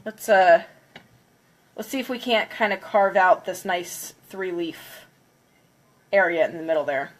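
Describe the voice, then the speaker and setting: A woman's voice: on the low side, lively intonation, measured pace, pauses often. A congested woman chatting casually on a livestream, counting down before smashing plates. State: congested from a cold. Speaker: craft livestreamer.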